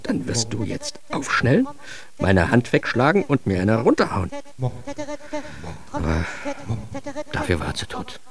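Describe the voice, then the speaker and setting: A man's voice: medium pitch, wildly swooping pitch, measured pace, long pauses, over music. An actor playing a self-aggrandizing, wry man telling his own absurd story in a radio drama monologue, ironic and theatrical. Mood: taunting. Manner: ironic, theatrical, shifting into hushed asides.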